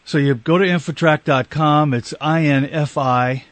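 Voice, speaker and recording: A man's voice: fairly high pitch, fairly even intonation, moderate pace, fairly bright tone, no room sound. A man with a slight Canadian accent, hosting a radio talk show in a conversational interviewing style.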